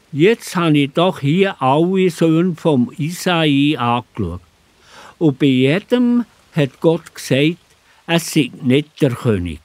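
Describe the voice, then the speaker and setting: A man acts out an old, pious prophet with a Swiss accent. His voice sits fairly high and moves in big, theatrical swings. The recording is close and dry, made for a children's radio play. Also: no room sound. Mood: puzzled. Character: old biblical prophet, pious, solemn, astonished. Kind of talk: children's Bible audio drama acting.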